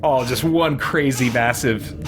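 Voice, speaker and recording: A man's voice: mid-range voice, measured pace, background noise. A man hosting a let's play, giving casual gameplay commentary and thinking aloud with mild, folksy exclamations. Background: loud game music.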